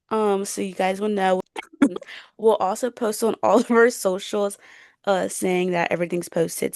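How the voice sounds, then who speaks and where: A woman's voice: mid-range voice, creaky, darkish tone, no room sound. A young woman co-hosting a podcast in casual, chatty, slangy conversation. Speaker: podcast co-host.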